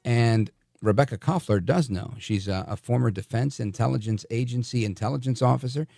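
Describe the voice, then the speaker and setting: A man's voice: medium pitch, fairly quick, creaky, dark tone, no echo, clean studio sound. A man hosting a live radio talk show, interviewing in a conversational, self-deprecating manner.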